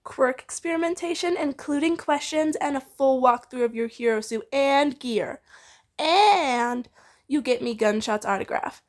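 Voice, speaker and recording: A woman's voice: high-pitched, a little slow. A woman voicing an eager, diligent teenage boy who bargains insistently in a story reading. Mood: keen bargaining.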